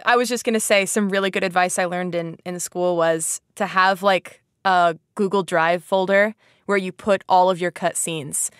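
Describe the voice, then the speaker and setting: A woman's voice: fairly low pitch, steady tone, normal speed, clean tone, dry recording, clean studio sound. A woman hosting a casual educational podcast, chatting informally with plenty of "like" and an easy laugh. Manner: giggly, laughs easily. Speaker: podcast host.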